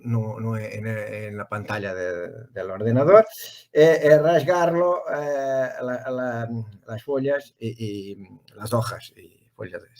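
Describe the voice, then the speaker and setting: A Portuguese man with a Portuguese accent, a guest professor in an academic interview, speaking halting Spanish with many stammers and self-corrections. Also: medium pitch, a little mellow.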